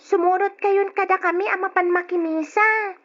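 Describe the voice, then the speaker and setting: Sweet little girl in an old radio drama, really high voice, dull lo-fi sound. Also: fairly even intonation, normal speed, a bit bright. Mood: pleading, respectful. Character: young daughter, sweet, generous, pleading little girl.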